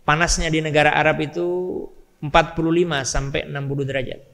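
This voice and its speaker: A man's voice: on the high side, level tone, fast, vocal fry, a bit bright. A man preaching in a storytelling style, emphatic, repeating phrases and putting questions to his listeners. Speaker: Islamic preacher. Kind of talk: storytelling sermon to a live congregation. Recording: long hall reverb.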